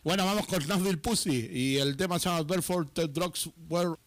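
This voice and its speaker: A man's voice: fairly high pitch, normal speed, faint music behind. A man from co-hosting a casual rock radio show, rambling and laughing, with loose, chatty talk. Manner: rambling, laughing, peppers speech with "tío". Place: radio studio.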